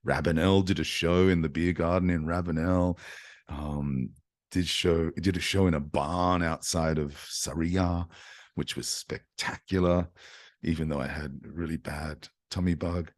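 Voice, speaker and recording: A man, a singer-songwriter, telling a story in a chatty, animated way to an online audience over a video call. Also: deep voice, measured pace. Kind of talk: casual talk to an online audience.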